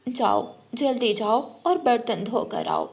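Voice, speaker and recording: A woman voicing a harsh, sharp-tongued older female bird, a mother-in-law who taunts her daughter-in-law in a cartoon. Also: fairly high pitch, huge pitch swings, slow pace, exaggerated voice. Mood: bossy.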